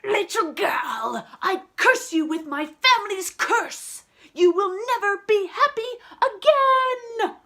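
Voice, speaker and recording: A pompous, greedy archduke from a picture book, as acted out by a woman reader. The voice is pushed high and loud, with slow, imperious stretched words. He declaims with a quick temper and swooping pitch. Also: expressive, exaggerated voice. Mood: furious.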